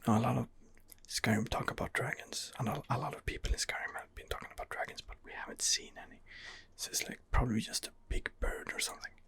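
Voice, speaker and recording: A man quietly narrating his role-play game in a breathy ASMR whisper, musing and rambling hesitantly, close to the microphone. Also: mid-range voice, wildly swooping pitch, fast talker, few pauses. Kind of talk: ASMR gaming commentary.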